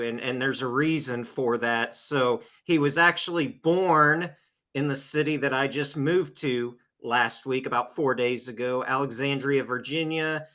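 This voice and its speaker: A man's voice: medium pitch, bright, forceful tone. A man co-hosting a casual reunion podcast, introducing guests in an easy conversational style. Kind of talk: casual hosted reunion chat.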